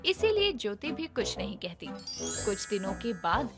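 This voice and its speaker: A woman narrates a scripted bedtime tale. Her voice is fairly high and very animated in pitch, over clearly audible background music. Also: moderate pace.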